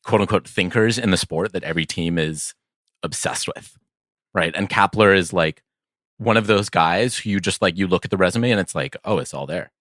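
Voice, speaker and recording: A man's voice: low voice, moderate pace, a bit bright, no echo, clean studio sound. A man co-hosting a casual sports podcast in a wry, conversational way. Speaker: podcast co-host.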